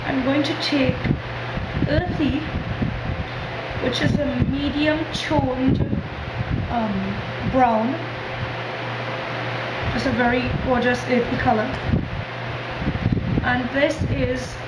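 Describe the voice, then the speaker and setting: A hoarse woman from Trinidad giving a calm step-by-step makeup tutorial. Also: high voice, takes it slowly, long pauses. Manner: calm step-by-step instructions, soft fillers. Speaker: beauty vlogger. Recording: echoey room with loud background noise.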